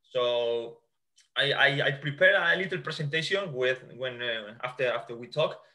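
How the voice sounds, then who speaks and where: A man's voice: mid-range voice, moderate pace, sharp tone. A man in his thirties from Spain with a Spanish accent, explaining sport science as a guest on a video call and often repeating words as he restarts. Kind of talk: explaining a slide presentation over a video call. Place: small indoor room. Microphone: video call webcam.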